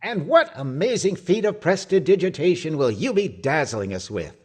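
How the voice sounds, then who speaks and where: A man's voice: fairly high pitch, big pitch swings, moderate pace, breathy edge. A man playing a blustering, wisecracking old husband in an old-time radio comedy, with pompous patter and teasing insults. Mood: wry.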